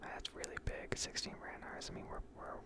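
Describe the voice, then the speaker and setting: A woman speaking in a breathy whisper, giving rambling, unscripted and self-deprecating ASMR commentary over gameplay. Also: moderate pace. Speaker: gaming video creator.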